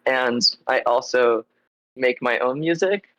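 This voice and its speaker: A man's voice: medium pitch, slow pace, fairly bright tone, no room sound. Congested man in dull-sounding casual chat. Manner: informal, meandering, heavy use of like. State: getting over a cold, congested. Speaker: interview guest, musician in a band. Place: live online stream.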